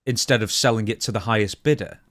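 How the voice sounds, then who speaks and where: A man's voice: on the low side, fast, fairly bright tone, dry recording, studio quality. A man co-hosting a podcast, moving between reading out news and casual conversational commentary. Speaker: podcast co-host reading news and asking questions.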